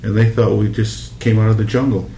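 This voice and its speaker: Man, from Hawaii, lowish pitch, casual reminiscing, echoey room. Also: fairly even intonation, a little fast, dark tone. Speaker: interviewee. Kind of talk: casual oral history interview, reminiscing. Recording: echoey room with background noise.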